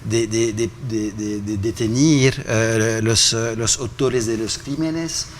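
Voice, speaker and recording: A man answering interview questions in a halting way, repeating words and fillers often. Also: fairly low pitch, slight background noise. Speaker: interview guest.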